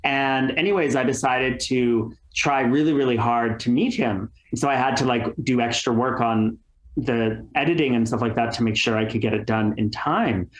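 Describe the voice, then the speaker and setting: A man's voice: medium pitch, normal speed, roomy sound, poor audio. A man talking candidly as a guest on a podcast, chatty and full of fillers. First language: English. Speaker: guest YouTuber. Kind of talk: casual podcast chat.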